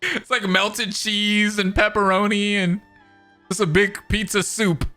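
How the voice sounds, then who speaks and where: A woman's voice: medium pitch, steady tone, a little slow, pushed and bright. A woman doing casual, joking reaction commentary over a video game, talking in loose slang. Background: game music.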